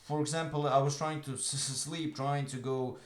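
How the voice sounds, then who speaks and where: A man's voice: medium pitch, fairly even intonation, normal speed. Croatian-accented young man, echoey room. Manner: earnest, many fillers. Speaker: online business coach. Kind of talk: motivational talk to camera. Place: room at home, evening.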